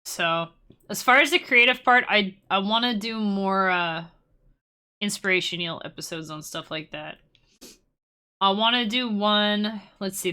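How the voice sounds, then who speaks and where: Young woman streaming a music lesson, bright voice, rambly and jokey. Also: medium pitch. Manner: rambling, self-deprecating, playful asides. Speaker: music creator teaching on stream. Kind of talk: casual live-stream tutorial chat.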